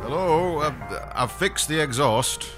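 A man's voice: on the high side, animated, measured pace, over loud music. A man playing a bashful, apologetic space engineer who stammers awkwardly in a sci-fi audio comedy.

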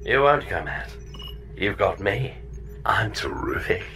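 A man playing a blandly self-satisfied pod computer that makes blunt, deadpan announcements in a comedy audio drama. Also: lowish voice, slow pace, gravelly, very noisy, full character voice. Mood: deadpan, smug.

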